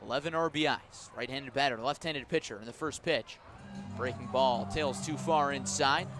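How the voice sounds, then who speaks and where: A man doing live radio play-by-play of a baseball game, with a measured call that rises sharply on big plays. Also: medium pitch, music in the background.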